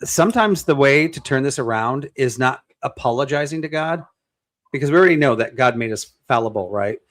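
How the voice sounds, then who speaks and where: A man on a panel speaks in an encouraging, coaching way, with a middling pitch. The recording is dry, with no room sound. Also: lively intonation, fairly quick, fairly bright tone, dry recording. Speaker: panel member. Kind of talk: casual panel talk.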